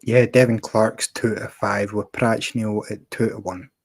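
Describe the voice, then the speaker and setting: A man's voice: fairly low pitch, steady tone, unhurried, vocal fry, husky, dry recording. A man on a fight picks panel, reading out odds in a brief matter-of-fact way. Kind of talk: casual betting picks chat.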